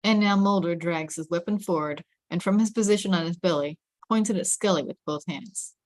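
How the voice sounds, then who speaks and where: A woman's voice: fairly low pitch, fairly quick, darkish tone, dry recording. A woman reading screenplay stage directions as scripted narration.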